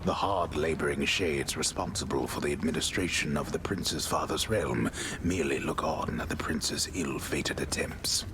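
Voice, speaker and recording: An ultra-deep, hushed old bard. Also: male voice, moderate pace, few pauses, noisy background. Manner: hushed, ornate, mock-solemn. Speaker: narrator. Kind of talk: video game narration. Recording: game audio with music behind.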